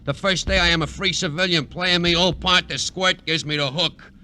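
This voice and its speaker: Gruff blustery new safecracker santa, really piercing tough voice, old radio show with some hiss. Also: male voice, high voice, steady tone, normal speed, sharp tone. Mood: indignant. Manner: blustering, tough-guy street talk. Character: gruff, touchy middle-aged ex-con safecracker playing Santa. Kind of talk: 1950s radio drama acting. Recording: noisy old radio recording.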